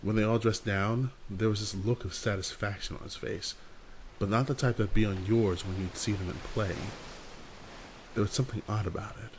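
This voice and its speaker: A man plays a skeptical associate with quiet menace in an audio drama. He speaks low, in a deliberate, sardonic and cautioning way. A little noise sits on the recording. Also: fairly even intonation, close to an everyday voice. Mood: suspicious. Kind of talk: amateur audio drama acting.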